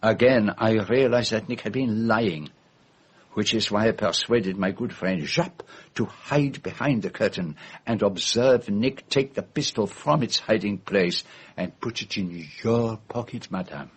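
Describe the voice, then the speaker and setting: An actor playing a fussy, shrewd foreign gentleman detective, speaking in precise, formal phrases in a radio drama. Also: fairly low pitch, a little slow, slightly rough. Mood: dramatic deduction. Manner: precise, theatrical, formal phrasing with French forms of address.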